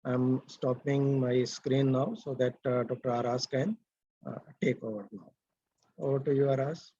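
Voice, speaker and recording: A man gives an online academic presentation, speaking haltingly with many fillers and restarts. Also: mid-range voice, fairly even intonation, dark tone, slightly rough, dry recording. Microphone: video call. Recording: dull, dry video call audio.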